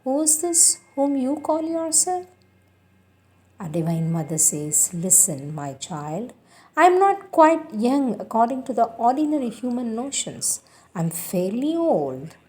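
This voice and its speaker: A woman reading spiritual teachings aloud in a deliberate, emphatic, devotional way. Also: a little high, darkish tone. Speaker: reader of spiritual texts. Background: music.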